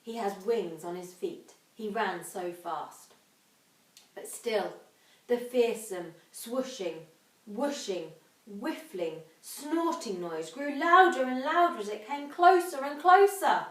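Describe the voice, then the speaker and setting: Echoey, expressive woman reading to children. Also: fairly high pitch. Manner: dramatic, emphatic, drawn-out sound words. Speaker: infant school teacher. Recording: echoey room, distant microphone.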